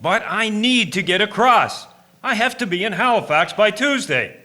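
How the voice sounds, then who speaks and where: A man's voice: high voice, expressive, normal speed, pushed and bright. A man playing an anxious, determined ship's captain who speaks formally and insistently in a historical radio drama. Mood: urgent. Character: anxious, determined steamship captain, brisk and commanding. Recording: noisy, echoing recording with clicks.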